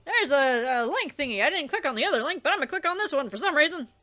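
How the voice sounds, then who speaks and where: A woman's voice: sits high, lively intonation, fast, pushed and bright. A young woman hosting a let's play, reading a webcomic aloud with theatrical delivery, swearing and trailing off into casual asides.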